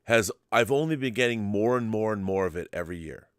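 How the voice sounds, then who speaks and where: A man's voice: lowish voice, expressive, a little fast, smooth, no room sound, clean studio sound. A middle-aged man hosting a talk show, giving his opinions emphatically in casual discussion and often repeating words as he gets going.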